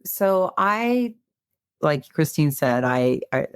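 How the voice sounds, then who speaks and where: Raspy woman with a cold. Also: low voice, sing-song, slow pace, no echo, clean studio sound. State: getting over a cold. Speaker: podcast guest, clinical hypnotist. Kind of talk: casual interview chat.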